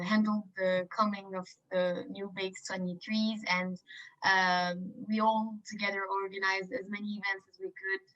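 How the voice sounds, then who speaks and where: A woman's voice: medium pitch, fairly bright tone. A young woman from Morocco, a student representative, chatting casually with fillers in a webinar over a video call. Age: young adult. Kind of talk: webinar chat. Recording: dull lo-fi call audio.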